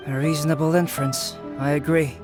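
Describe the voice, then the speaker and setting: A man voicing a calm, analytical young scholar in a video game, speaking precisely and with reserve. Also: a little high, fairly quick, music in the background, lightly acted. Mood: thoughtful. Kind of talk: video game character acting.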